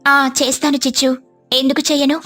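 A woman's voice: sits high, little pitch movement, a little fast, a bit bright, faint music behind. Woman as a kindly mother sparrow. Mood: willing. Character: kindly, modest mother sparrow who cooks.

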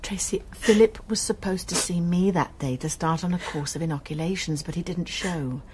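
An actress playing a kindly woman college nurse and amateur sleuth who narrates a radio drama and questions people gently. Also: lowish voice, normal speed, a little hiss or hum, natural voice. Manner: calm, gently probing.

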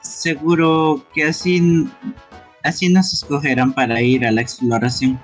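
A man with a husky voice reading game dialogue aloud as an amateur dubber on a stream. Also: on the high side, flat delivery, a little slow, soft background music.

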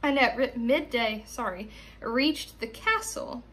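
A woman's voice: fairly high pitch, slow pace, a bit bright, slight background noise. A young adult American woman, a teacher reading and talking expressively to her pupils. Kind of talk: expressive storybook narration.

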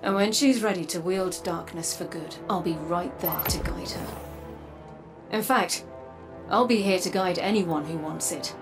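An actress playing a weary, resolute robot woman in a video game, speaking in an earnest, measured, solemn way. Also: fairly low pitch. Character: weary, resolute robot woman, a guarded sister from dark future. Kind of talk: dramatic video game acting. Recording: game music behind the voice. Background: game soundtrack music.

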